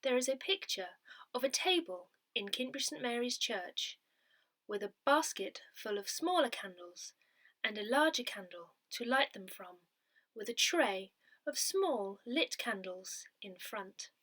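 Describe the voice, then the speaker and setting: A woman narrates written articles aloud as a newsletter reader. Her voice sits a little high and has a bright edge. Her intonation moves in a lively, animated way through the scripted text. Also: measured pace.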